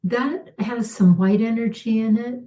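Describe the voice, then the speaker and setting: A middle-aged woman, a healer, leading a calm guided spiritual healing with unhurried talk and soft pauses, her voice slightly worn. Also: on the high side. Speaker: intuitive healer hosting a live session.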